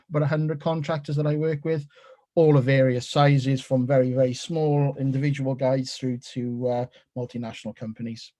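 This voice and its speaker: A middle-aged Welsh man presents a technical seminar over a video call in a relaxed, conversational manner, with plenty of fillers. His voice is mellow and warm, with a medium pitch. The sound is dry and close, with no room echo. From South Wales. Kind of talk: online professional seminar.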